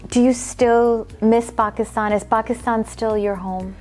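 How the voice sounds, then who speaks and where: A woman's voice: on the high side, steady tone, a little slow, a little mellow, faint music behind. A woman hosting a casual talk show interview, upbeat and encouraging, often affirming her guest. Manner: upbeat, encouraging, frequent laughs and yeah affirmations. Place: Dallas.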